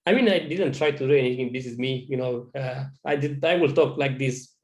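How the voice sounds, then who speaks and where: A man's voice: medium pitch, brisk. A man with a Romanian accent, a business owner answering interview questions over a video call, casual and earnest, with a lot of "you know". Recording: roomy video call audio.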